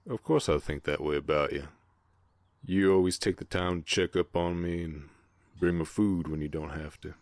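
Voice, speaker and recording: A man voicing a big, gentle, guarded orc ranch hand with a Southern US accent, speaking politely and haltingly in an intimate Western ASMR roleplay. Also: extremely low pitch, no room sound. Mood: tender, earnest. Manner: halting, polite, soft-hearted drawl with folksy turns.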